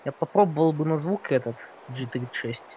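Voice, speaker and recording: A man's voice: fairly high pitch, lively intonation, brisk, lo-fi sound, a little hiss or hum. A man talking casually with his teammates as they play a game. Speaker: gamer in a group session. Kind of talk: casual gaming chat.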